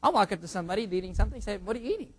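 A high-voiced younger man preaching from the pulpit. He teases himself and the room with food jokes, then leans hard on key words in sudden loud bursts, and slips into a prayer. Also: animated, very fast, husky. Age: under 45. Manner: jokey, self-teasing, surging loud emphasis. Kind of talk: sermon with prayer.

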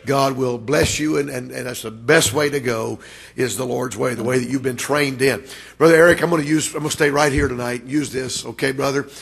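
A man preaching a revival sermon with a raspy voice, building to loud, emphatic, drawn-out delivery. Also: medium pitch, steady tone. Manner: rises to loud emphatic preaching, stretched words. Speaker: guest preacher.

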